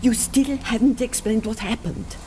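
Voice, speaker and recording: A woman's voice: on the high side, normal speed, very noisy, lightly acted. An actress playing a stern, suspicious and reserved female academic in a video game cutscene. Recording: loud music under the voice.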